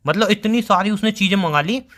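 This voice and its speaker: Here is a man acting as a young boyfriend in a casual couple's call drama. He talks very fast in a fairly high male voice. His manner is teasing and evasive, full of banter and explanation. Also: fairly bright tone. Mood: explaining, storytelling. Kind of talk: casual scripted couple phone call in Hindi.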